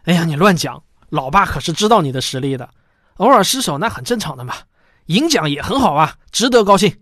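A man's voice: a little high, big pitch swings, a little fast, fairly bright tone, dry recording, studio quality. A man acting a doting, encouraging father with a coaxing manner in an audio drama. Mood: coaxing, reassuring. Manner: coaxing, reassuring.

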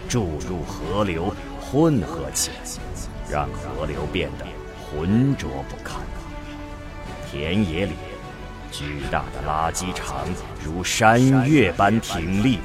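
A man acting a grave, visionary scientist in a science fiction radio drama, speaking in solemn, deliberate oratory, convinced he has saved the world. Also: deep voice. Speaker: voice actor in an audio drama. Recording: music behind the voice.

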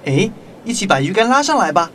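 A man's voice: sits high, very animated, fairly quick, over music. A man playing an earnest young fishing companion, expressively, in a children's radio drama. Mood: hopeful.

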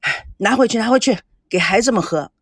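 A woman's voice: on the low side, animated, measured pace, husky, no room sound, clean studio sound. Woman acting a stern, nagging old father. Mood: grumbling. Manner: grumbling, sighing, admonishing.

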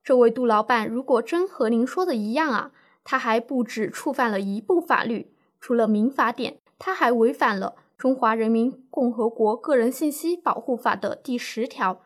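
Actress as female lawyer: fairly high voice, composed, reassuring, formal explanations, radio drama. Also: normal speed, hardly any pauses, close to an everyday voice. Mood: explanatory, firm. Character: calm, reassuring female lawyer advising a client. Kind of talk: scripted legal-education radio drama.

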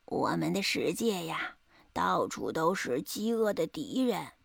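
A woman voicing a wise, proud old grandpa toad in a children's bedtime story, speaking slowly. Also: fairly low pitch, very animated, no room sound, clean studio sound, pitched below the performer's own voice, exaggerated voice. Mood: wise, patient. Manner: slow, drawn-out, gravelly storybook voice.

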